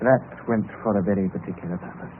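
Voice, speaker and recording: An actor playing a courteous, reckless, ruined young British gentleman in an old-time radio drama, formal and flippant about his despair. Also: fairly low pitch, fast, lightly acted. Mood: rueful, flippant. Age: young adult. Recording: noisy, tinny vintage radio recording.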